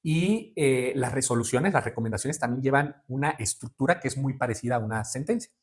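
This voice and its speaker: A Mexican man in his forties explains his career in a formal, measured way. He pauses on fillers and often closes a thought with a tag question. His pitch sits in a middle range. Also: animated. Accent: Mexican Spanish. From Veracruz, Mexico. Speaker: judicial candidate guest. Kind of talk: interview answers.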